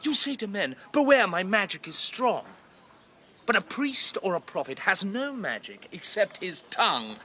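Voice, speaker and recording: A man's voice: high voice, very animated, normal speed, bright, forceful tone, slight background noise, heavily acted. An actor playing a rigid, skeptical younger king who speaks with declamatory contempt in a classical radio drama. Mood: wary scorn.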